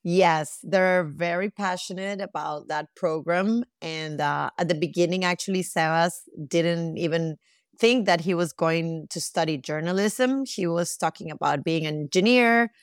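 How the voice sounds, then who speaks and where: A middle-aged woman from Costa Rica speaks as an interview guest in a slightly low register. The sound is dry and close, and she answers in a conversational way. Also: unhurried, smooth, no echo.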